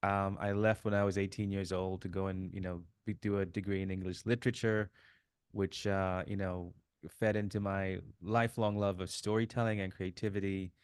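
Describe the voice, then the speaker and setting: A man in his fifties, originally from Sri Lanka, telling his life story as an interview guest, with relaxed fillers. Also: sits low, moderate pace, clean tone, dry recording, clean studio sound. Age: 50s. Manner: warm storytelling, reflective, many fillers. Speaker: guest, author. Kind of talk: casual interview chat.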